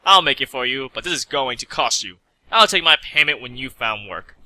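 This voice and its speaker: A man's voice: medium pitch, expressive, moderate pace, pushed and bright, no room sound. A man voicing an elegant, haughty female pony seamstress in a prim, businesslike manner for a fan story reading. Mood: prim, brisk.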